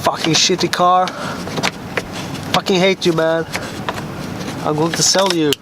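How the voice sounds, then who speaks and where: Man, slightly high voice, casual car-repair commentary, swears a lot, loud outdoor noise. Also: flat delivery, a little slow. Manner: casual, swears freely, talks to himself. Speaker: vlogger doing car repairs.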